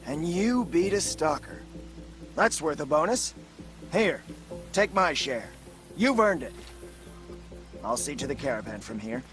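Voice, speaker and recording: A beleaguered, pragmatic caravan boss in a game. His voice is slightly high for a man and plain-spoken, over soft music. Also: sing-song, measured pace, pauses often. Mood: relieved, grateful.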